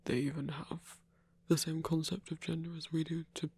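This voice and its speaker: A man's voice: on the high side, little pitch movement, normal speed, a little mellow, slightly rough. A man playing a shy, earnest young hunter in an intimate ASMR audio roleplay, stammering and hesitant, with nervous little laughs. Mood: awkward, musing. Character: shy, earnest young male monster hunter, smitten and awkward.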